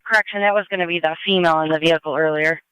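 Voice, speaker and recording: A man's voice: fairly high pitch, steady tone, very fast, bright, forceful tone, clean tone, no room sound, rough recording. A man working as a police dispatcher, giving clipped, businesslike procedural calls over two-way radio. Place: heard over a live scanner stream.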